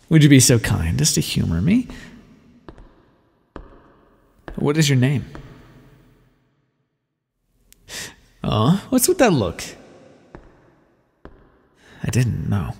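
A man playing an aloof, teasing vampire scientist in an ASMR roleplay, speaking wryly and formally with a gravelly voice. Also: medium pitch, big-room sound, heavily acted. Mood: teasing, fond. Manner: wry, condescending, formal wording with pet names. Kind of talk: ASMR roleplay, scripted intimate monologue to the listener.

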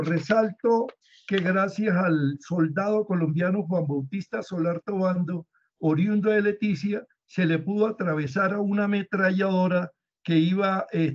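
A man giving a formal, point-by-point comment on a video call. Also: high-pitched. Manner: brisk, enumerates points. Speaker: audience member commenting. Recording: dull lo-fi call audio.

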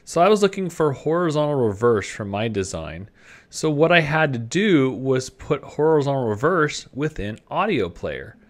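A man presenting an informal screen-share coding tutorial in a conversational way, thinking aloud as he demonstrates. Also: mid-range voice. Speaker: presenter of a web development tutorial, designer.

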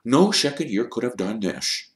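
Man voicing a grave, measured old headmaster. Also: mid-range voice, animated, reverberant, pitched above the performer's own voice, lightly acted. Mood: firm. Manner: measured, soft but firm. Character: wise old headmaster, calm, grave and firm.

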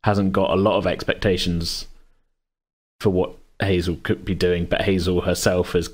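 A man's voice: low-pitched, flat delivery, moderate pace. A man talking casually as host and game master, in a rambling, hesitant, self-correcting way. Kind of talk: casual chat about a tabletop game.

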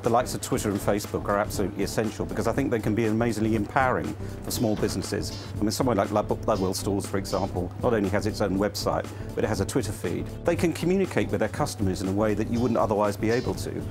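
A man with a low voice explains his view at a brisk pace, as in an interview. Music is clearly playing behind him. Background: background music.

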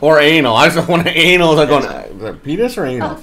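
A middle-aged man hosting a casual livestream, talking in a jokey, animated way. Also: a little high, fast talker, crowd noise. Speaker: streamer and host. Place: home room, indoors, at a desk.

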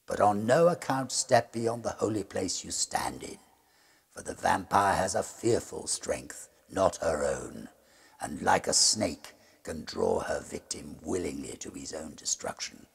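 A man's voice: low-pitched, a little slow, gravelly, slightly rough, heavily acted. A man voicing a resolute church restorer in a gothic horror story, firm and commanding as he faces a vampire. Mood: grave warning.

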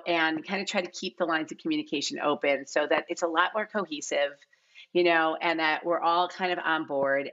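A middle-aged woman with a notably low voice speaks casually in an interview. She circles back and repeats phrases for emphasis. The sound is a little dull and lo-fi, like a video call. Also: a bit bright. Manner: informal, repeats herself for emphasis. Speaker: casting director, podcast guest. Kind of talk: casual podcast interview talk. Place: large living room at home.

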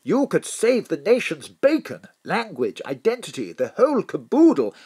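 An actor playing a hearty, plummy spy chief in an audio drama, jaunty, posh and emphatic, full of old-school slang. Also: male voice, high voice, wildly swooping pitch, normal speed, darkish tone, breathy edge, full character voice. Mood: jaunty, urgent.

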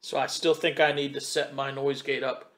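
Middle-aged male tech presenter, mid-range voice, informal step-by-step explaining, pauses to think as he goes. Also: mid-range voice, little pitch movement, fairly bright tone. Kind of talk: casual step-by-step gear tutorial. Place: home computer desk in a wood-panelled room. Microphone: desktop condenser mic about two feet away.

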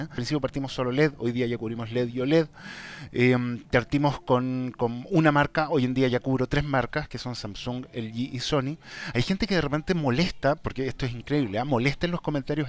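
A man with a Chilean accent hosting a casual vlog, talking to viewers in a direct, emphatic and somewhat halting way. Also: medium pitch. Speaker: YouTube channel host. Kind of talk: casual vlog talk, answering viewer questions.

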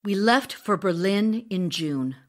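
A woman playing the devoted, wistful wife of an ailing writer in an audio drama, speaking in a tender, measured, earnest way. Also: medium pitch, lively intonation. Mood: sorrowful, reflective. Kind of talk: audio drama acting, narrated memoir and letters. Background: music with plucked guitar.

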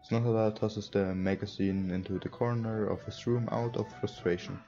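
Low, mellow young man, non-native English speaker. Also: fairly even intonation. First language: not English. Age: young adult. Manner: halting, stumbles while reading. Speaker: let's player. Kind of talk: casual let's play, reading game dialogue aloud. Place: indoor room at a computer. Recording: dull lo-fi sound. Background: game music.

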